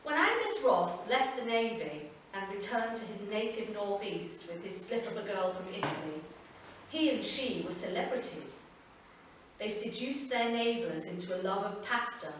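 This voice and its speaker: A woman reads a literary story aloud at a live festival reading. She is captured from a distance, so her voice sits back in a very echoey room with a faint hum of ambience behind her. Her reading is measured and unhurried, and her lines settle softly at the ends. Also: mid-range voice. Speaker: reader.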